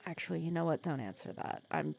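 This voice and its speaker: A supervillain nemesis with a gentle, protective streak, played by a woman for an ASMR roleplay. Her voice sits a little low and is very mellow and relaxed. She speaks softly and conversationally, with wry teasing and small hesitations. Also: quick pace, gravelly, breathy edge, exaggerated voice. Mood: indignant, protective. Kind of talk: ASMR roleplay audio drama.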